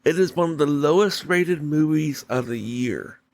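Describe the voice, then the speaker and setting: A man hosting a solo podcast, giving opinion commentary in deliberate, halting short phrases. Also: fairly high pitch. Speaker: podcast host.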